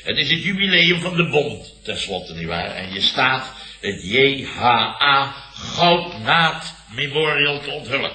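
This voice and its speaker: An actor playing a pompous, aggrieved boss with a Netherlands Dutch accent, blustering and stammering in a radio comedy from around 1970. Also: male voice, mid-range voice, big pitch swings, unhurried, pushed and bright, roomy sound, heavily acted, poor audio. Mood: defensive, exasperated. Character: blustering, self-important, aggrieved male boss.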